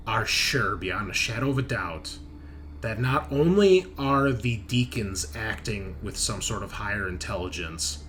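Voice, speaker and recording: A man narrating a sci-fi horror tabletop game as game master, speaking haltingly and thinking aloud with pauses. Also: on the low side, a bit bright, slight background noise.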